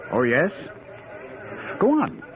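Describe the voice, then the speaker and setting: An actor playing an absent-minded, excitable middle-aged professor with a musing, eager manner in an old-time radio drama. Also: male voice, medium pitch, takes it slowly. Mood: intent. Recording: noisy, muffled old radio recording.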